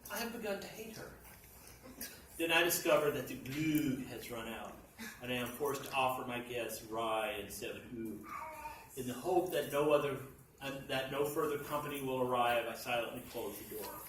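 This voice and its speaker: A man narrates a comic short story to a live gathering with deliberate, dramatic emphasis. His voice sits at a middle pitch. The recording is distant and echoey, with clear background noise. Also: a bit bright. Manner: theatrical, deliberate emphasis with sudden swells. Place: library function room at a live reading. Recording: echoey room, distant mic, noisy.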